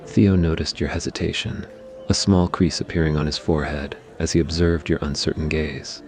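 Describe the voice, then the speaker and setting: Extremely deep-voiced man narrating romance. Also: little pitch movement, moderate pace, a little mellow. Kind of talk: scripted romantic ASMR story narration.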